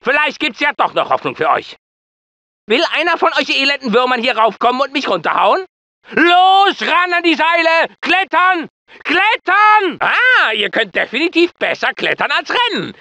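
An actor playing a scornful, bullying drill sergeant in a video game, barking commands and taunts emphatically. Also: male voice, extremely high pitch, sing-song, moderate pace, bright, forceful tone, no echo, exaggerated voice. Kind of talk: video game training instructions.

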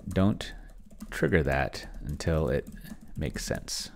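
A middle-aged man giving casual think-aloud commentary at his computer in a halting, murmuring way, close to a desk microphone. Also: a bass voice, animated, vocal fry. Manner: halting, murmuring to himself while typing and reading. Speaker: host, solo roleplaying player. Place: small room at home, indoors. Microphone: desk microphone close to the mouth.

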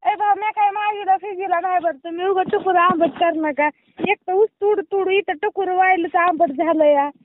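A woman with a very high voice speaks over a thin, tinny phone line. She sounds amused and suspicious as she answers an unknown caller. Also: fairly even intonation, moderate pace, a little hiss or hum. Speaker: prank call target. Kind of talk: phone conversation. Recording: tinny phone call recording.

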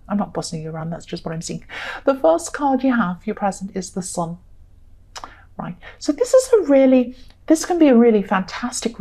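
A woman's voice: fairly high pitch, warm tone. A woman giving a conversational tarot reading, speaking straight to the listener in a reassuring way and often repeating her points. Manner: repetitive, reassuring. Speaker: tarot reader.

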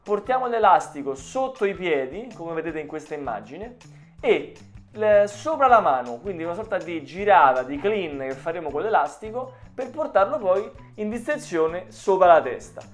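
A man's voice: high voice, sing-song, normal speed. A man in his thirties from Italy giving informal, encouraging exercise instruction as a personal trainer. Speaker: personal trainer and fitness channel host. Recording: music behind the voice. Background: background music.

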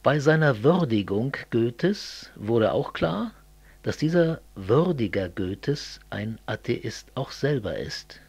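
A middle-aged man from East Germany speaking in a scripted political dialogue, didactic and deliberate. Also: mid-range voice, sing-song, gravelly, a little mellow, husky, no echo.

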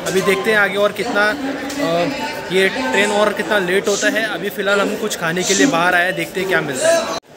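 A man's voice: high-pitched, fairly quick. A man vlogging a train journey, chatting casually and giving viewers tips. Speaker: travel vlogger. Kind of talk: casual vlog narration. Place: inside a moving train and on a station platform. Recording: loud crowd around.

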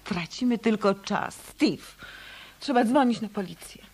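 An anxious, world-weary woman of noir radio drama. Her voice is husky and breathy at an average female pitch. She speaks in hushed, halting, dramatic phrases. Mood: despairing. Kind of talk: Polish radio play acting, noir detective drama.

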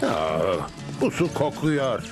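A man's voice: medium pitch, sing-song, slow. A man voicing a dry, self-satisfied talking horse in a dubbed cartoon, with a wry manner. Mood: disgusted. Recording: loud music bed under the dialogue.

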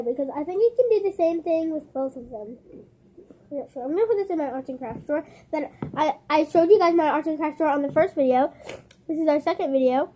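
Chatty high-voiced young girl vlogging. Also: dark tone. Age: child. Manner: chatty, rambling, addresses viewers directly. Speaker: channel host. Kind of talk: casual kids' craft vlog. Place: small carpeted bedroom, seated on floor. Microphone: camera on the floor nearby.

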